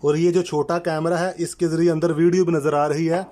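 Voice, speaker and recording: A man presenting a methodical, step-by-step installation tutorial in an instructional way, repeating key terms to make them clear. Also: fairly high pitch, level tone, fairly quick, warm tone. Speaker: electrician presenting a how-to channel.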